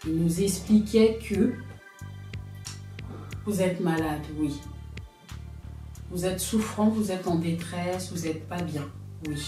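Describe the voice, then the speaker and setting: A woman's voice: medium pitch, darkish tone. A woman in her thirties from France, giving a personal testimony as an interview guest, speaking in a reflective, hesitant way as she searches for words. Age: 30s. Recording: roomy, from a distance.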